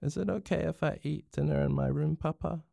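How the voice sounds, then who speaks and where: A man's voice: sits high, animated, a little slow, a bit bright, voice pushed up. A man voicing a young schoolgirl in a storybook, defiant and plaintive about unfairness. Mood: small, tearful. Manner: indignant, plaintive.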